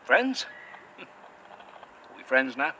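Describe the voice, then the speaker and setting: A man's voice: mid-range voice, big pitch swings, extremely slow, sharp tone, slight background noise, heavily acted. A man playing a bitter, sweary cynic. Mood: dry sardonic. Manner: curt, sarcastic, heavy swearing. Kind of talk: audio drama acting.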